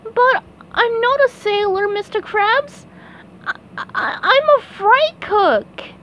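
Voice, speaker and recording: A woman's voice: very high voice, expressive, slow pace, heavily acted. A woman voicing an eager, anxious young male sea sponge in a hobby cartoon dub, with a whiny, childlike, exclaiming manner. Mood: apologetic, miserable. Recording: muffled, clearly noisy home recording.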